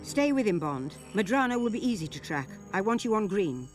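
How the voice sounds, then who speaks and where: A woman playing a stern older intelligence chief in a video game, crisp and commanding. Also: mid-range voice, sing-song, a little fast, faint music behind, close to an everyday voice. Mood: insistent. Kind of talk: video game cutscene acting.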